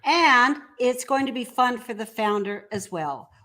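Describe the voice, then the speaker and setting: A woman's voice: fairly high pitch, animated, a little slow, pushed and bright. A woman speaking conversationally as an entrepreneur guest in an interview.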